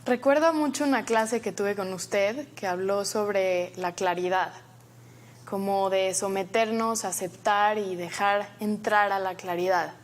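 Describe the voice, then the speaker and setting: A woman's voice: mid-range voice, steady tone, a little slow, a little hiss or hum. A woman hosting an interview, introducing and questioning her guest in a polite, formal way. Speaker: interview host.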